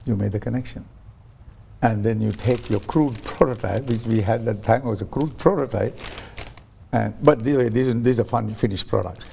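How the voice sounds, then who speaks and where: A man's voice: lowish voice, normal speed, creaky, mellow, a little hiss or hum. A man interviewed as a guest on a talk show, explaining his ideas through stories and acting out quoted voices, with frequent restarts. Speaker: guest, science ambassador.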